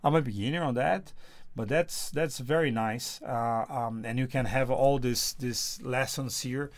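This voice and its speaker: A man whose first language is Portuguese, reviewing music software in a casual, halting way, often repeating words. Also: medium pitch, no room sound. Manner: halting, repeats words, sings test notes loudly.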